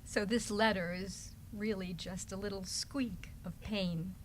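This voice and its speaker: A woman giving a deliberate, dramatic reading of a love letter. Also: mid-range voice, steady tone, low-quality recording. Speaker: reader in an amateur readers theater. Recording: background noise, live room ambience.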